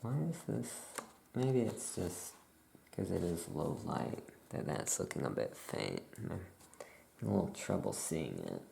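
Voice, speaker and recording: Slow, very creaky, soft-spoken ASMR witch roleplayer. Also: low voice, wildly swooping pitch. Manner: unhurried, musing aloud. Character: laid-back Salem witch shopkeeper chatting with a customer. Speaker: ASMR performer playing a witch shopkeeper. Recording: faint background noise.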